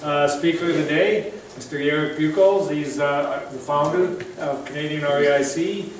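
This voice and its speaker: A man's voice: fairly high pitch, normal speed, noisy background. A man hosting a meeting, introducing the next speaker in a loose way full of fillers. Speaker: event host. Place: meeting room. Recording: echoey room from far off.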